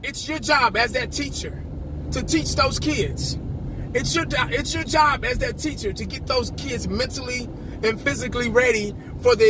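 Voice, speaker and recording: A passionate man ranting about his football team, with a slightly hoarse, scratchy voice, stumbling and repeating his words. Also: very high voice. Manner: passionate, repeats words when starting sentences. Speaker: sports fan vlogger. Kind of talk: casual fan rant to camera.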